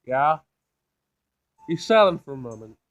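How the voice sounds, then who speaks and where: A man's voice: on the high side, normal speed, dull audio. A man hosting a casual let's play, reading a visual novel aloud and reacting in informal, slangy speech. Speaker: gaming video host.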